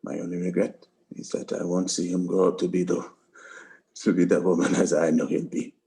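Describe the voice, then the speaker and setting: A man reading the part of a resolute, sorrowful soldier bent on revenge, in a quiet, deliberate, grave way. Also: deep voice, level tone. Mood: solemn, wistful. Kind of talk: dramatic script table read.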